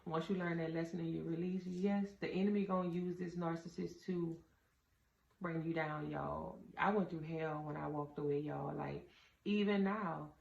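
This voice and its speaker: A woman with a very low, deep voice and a gravelly edge talks earnestly to her viewers, giving casual advice. She sounds like she is in a roomy indoor space. The recording is a little dull, with a faint hum of ambience underneath. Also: steady tone, moderate pace, a little mellow. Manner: earnest, addresses viewers as y'all. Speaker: vlogger giving relationship advice. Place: indoor room with a door.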